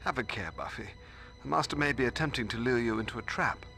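A proper middle-aged mentor in a video game. His voice is gravelly yet forceful, and he speaks in careful, formal, cautious phrases. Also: medium pitch, soft background music. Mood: cautious, dry. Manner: formal, measured, earnest.

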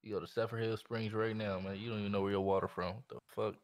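Low-voiced, drawling man. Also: level tone, fast talker. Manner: drawling, ends lines with "man". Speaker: squad teammate. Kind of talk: casual gaming chat over voice chat.

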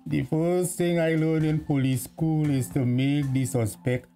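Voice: medium pitch, fairly even intonation, a little slow, warm tone, faint music behind, close to an everyday voice. An actor playing a self-important police officer in a radio drama, officious and pompous.

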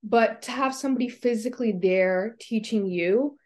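A woman's voice: fairly high pitch, moderate pace, darkish tone. A young woman interviewer on a video call from home, talking in an agreeable, affirming way. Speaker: interviewer host.

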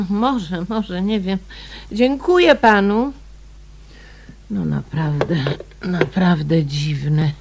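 An actress playing a polite woman newly moved in, in a radio drama, unhurried and often repeating words as if thinking aloud. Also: fairly low pitch, vocal fry, exaggerated voice. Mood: puzzled, polite.

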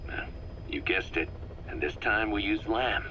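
A man's voice: lowish voice, unhurried, pushed and bright, poor audio. An actor playing a wry, businesslike military man with an exasperated edge and casual phrasing, in a video game. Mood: eager, businesslike. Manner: casual, wry, hesitant asides. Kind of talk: scripted video game drama. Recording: faint background noise.

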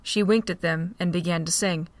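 A woman narrating a fan audio drama with a steady storytelling read. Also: lowish voice, normal speed, dry recording. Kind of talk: scripted narration.

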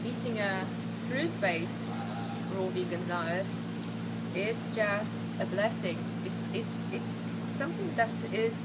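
A woman's voice: medium pitch, slow pace. A young woman hosting a casual travel vlog, upbeat and laughing easily, on an outdoor terrace. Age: young adult. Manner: bubbly, upbeat, laughs often. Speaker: vlogger host. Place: open hillside terrace outdoors. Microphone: camera mic. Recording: steady outdoor background noise.

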